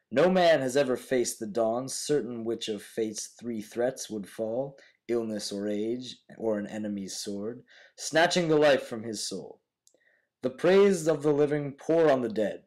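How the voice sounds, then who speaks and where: A man's voice: mid-range voice, darkish tone, poor audio. A young man with a mid-range voice recites verse. He is a student reader delivering a scripted poem. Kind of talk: poetry recitation.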